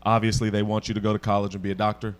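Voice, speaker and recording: A man's voice: fairly low pitch, completely flat delivery. A man hosting a podcast interview, asking casual questions in an encouraging, chatty way. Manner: encouraging, quick to laugh, chatty. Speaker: podcast host.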